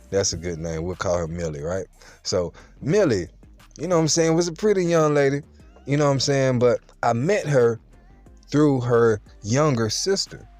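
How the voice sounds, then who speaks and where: A young man telling a true story straight to the camera in a casual, slangy, animated way. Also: medium pitch, dry recording.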